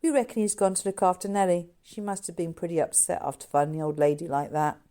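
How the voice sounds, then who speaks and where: A woman voicing an excitable, chatty young boy who explains things eagerly in a storybook mystery. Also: fairly low pitch, fast, close to an everyday voice. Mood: helpful.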